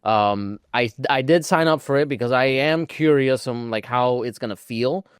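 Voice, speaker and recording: A man of average pitch gives casual commentary as a podcast co-host. His phrasing is loose and informal, with plenty of "like." The recording is dry and free of room sound. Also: moderate pace, smooth, no echo. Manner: informal, peppered with "like".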